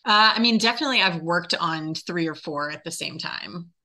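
A woman's voice: fairly low pitch, expressive, normal speed, sharp tone. A woman, a theater director, answering questions as a guest in a conversational interview.

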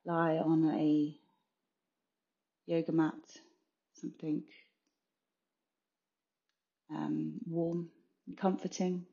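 A woman's voice: fairly low pitch, dark tone. A woman soothingly guiding a yoga nidra meditation in short phrases with gaps between them, on a slightly muffled session recording. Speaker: meditation guide, consultant.

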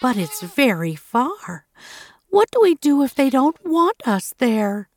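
A woman voicing a gentle mother goat, speaking sweetly and soothingly in a children's story. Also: a little high, sing-song, a little slow, gravelly, full character voice. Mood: worried, brave. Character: gentle, brave mother goat, reassuring her kids.

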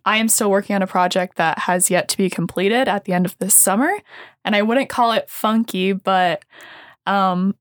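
Young woman intern, mid-pitch, thoughtful and hesitant, dry close recording. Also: dry recording. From South Dakota. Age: young adult. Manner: thoughtful, many ums and uhs. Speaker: summer intern. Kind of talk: casual interview.

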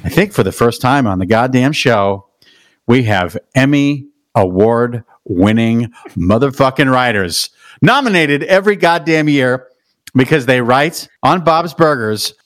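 A man hosting a podcast in casual chat. He talks in a loud, profane and playful way, with sudden high whoops. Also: animated, measured pace. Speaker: podcast host.

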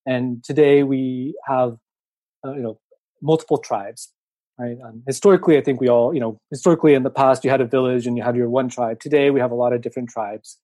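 A man's voice: mid-range voice, fairly even intonation, brisk, warm tone, no room sound. A man raised in the United States, a podcast guest giving reflective, conversational answers full of fillers and restarts. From Japan, raised in the United States. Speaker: podcast guest, venture capitalist.

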